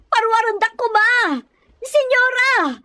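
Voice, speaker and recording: A woman performs a troubled mother in an audio drama. She pleads respectfully in a high voice, with a close, dry sound. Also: expressive, slow, sharp tone, no echo, full character voice. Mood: pleading, distressed. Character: distressed mother pleading to be let go.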